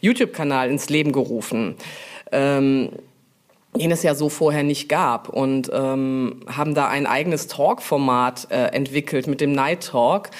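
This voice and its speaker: A woman answering interview questions informally, explaining things with frequent pauses to think. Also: very deep voice. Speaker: brand manager, interview guest.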